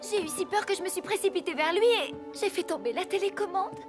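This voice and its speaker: A woman's voice: very high voice, animated, quick pace, over music. A woman voicing a bold, crafty young girl with a theatrical, emphatic delivery in French cartoon dubbing.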